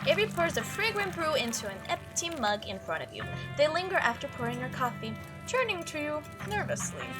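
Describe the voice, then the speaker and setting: A woman hosts a gaming video, reading visual novel narration and adding casual remarks. Her voice is high and expressive, with very lively intonation, and game music plays behind it. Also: fairly quick. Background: game music under the voice.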